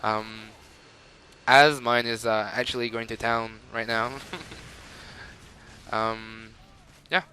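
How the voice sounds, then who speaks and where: Bright-voiced French Canadian gamer. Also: male voice, mid-range voice, level tone, takes it slowly, pauses often, a little hiss or hum. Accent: Quebec French. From Montreal, Canada. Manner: rambling and chatty, with frequent fillers and laughs. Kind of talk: gameplay commentary.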